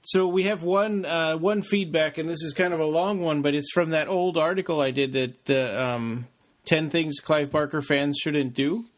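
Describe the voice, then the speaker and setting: A man with a voice pitched a little above average. He is a podcast co-host, talking informally with the others in casual conversation. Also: moderate pace.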